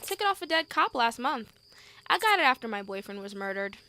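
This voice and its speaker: Woman as a brash, high-voiced, animated young woman. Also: brisk, slight background noise, heavily acted. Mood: breezy, blunt. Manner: blunt, slangy, casual. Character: brash, chatty, foul-mouthed young woman hauling corpses. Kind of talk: amateur audio drama acting.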